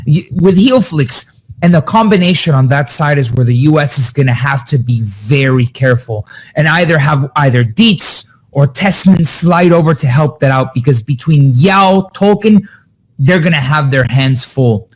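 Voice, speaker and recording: A man talking as a soccer analyst in a casual podcast chat, animated and lively. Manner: animated, quick pitch jumps when excited.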